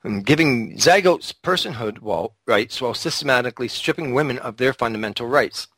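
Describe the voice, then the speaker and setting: A man's voice: mid-range voice, animated, brisk, a bit bright, dry recording, rough recording. A man with a mid-range voice talks bluntly and provocatively, swearing as he goes. He co-hosts a casual podcast, and his voice sits dry and close with almost no room sound. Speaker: podcast co-host. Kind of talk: casual opinionated chat.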